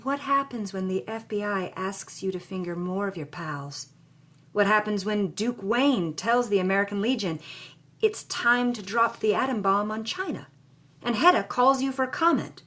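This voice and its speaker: A woman's voice: mid-range voice, animated, normal speed, a bit bright, voice pushed down. A woman voicing a smirking, wise older man who warns ominously in rhetorical questions, as read from a memoir. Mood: urgent, warning. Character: smirking, world-weary wise older man warning a friend.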